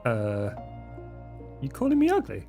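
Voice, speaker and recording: A man's voice: medium pitch, huge pitch swings, a little slow, vocal fry, mellow, faint music behind, full character voice. A man playing an informal, flippant young vampire in a tabletop roleplaying game. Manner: casual, flippant.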